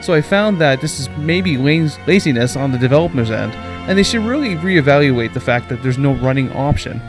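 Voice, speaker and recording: A man's voice: a little high, animated, fairly quick. A man hosting a podcast game review, giving commentary that moves between prepared narration and loose opinion. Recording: music playing behind the voice. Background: music.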